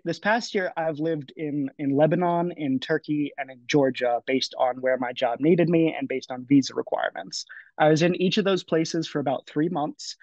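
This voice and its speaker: A man's voice: fairly high pitch, darkish tone, no room sound. A man in his twenties speaking casually on a panel over a video call, with frequent fillers and restarts. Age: 20s. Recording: dry, dull lo-fi audio.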